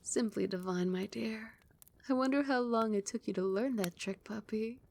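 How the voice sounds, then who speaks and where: Vampire queen, played by a female performer: regal, doting and possessive, ASMR roleplay, hushed and soothing with breathy whispers. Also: a little high, moderate pace. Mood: adoring, teasing. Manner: soft, soothing, often dropping into breathy whispers.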